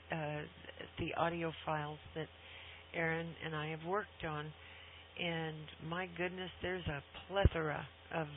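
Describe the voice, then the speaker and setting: A woman hosting a casual talk radio conversation, speaking haltingly with thoughtful pauses. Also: lowish voice. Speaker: radio show host. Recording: tinny phone-like line, humming noise.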